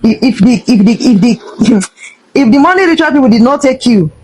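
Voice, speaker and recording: A woman's voice: on the high side, expressive, moderate pace, a little mellow, husky, rough recording. A Nigerian woman giving an impassioned, indignant vlog rant, emphatic and slipping between English and Pidgin. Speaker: social media vlogger.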